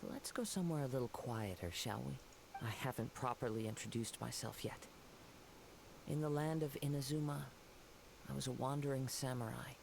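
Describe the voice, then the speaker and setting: An actor playing a calm, poetic young wandering samurai in a video game, speaking formally and courteously in a measured way. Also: male voice, mid-range voice, vocal fry, noisy background, lightly acted. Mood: courteous, composed. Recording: game music behind the voice.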